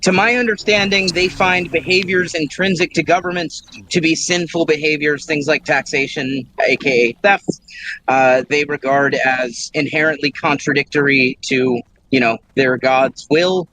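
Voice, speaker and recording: A man in a live debate, making his case in a measured, persuasive way. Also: a little high, steady tone, pushed and bright, slight background noise, rough recording. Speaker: debate participant.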